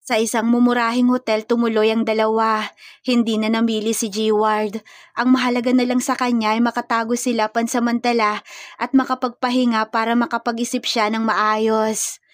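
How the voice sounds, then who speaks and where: A woman's voice: fairly high pitch, flat delivery, normal speed, no room sound, clean studio sound. A woman storyteller reading scripted romance fiction in a dramatic narrative style.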